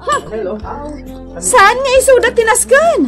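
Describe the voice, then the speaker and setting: Shrill, snobbish rich Filipina. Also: female voice, slow pace, music in the background. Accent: northern Philippine. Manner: exclamatory. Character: showy newly rich woman, snobbish and boastful. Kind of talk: radio drama acting.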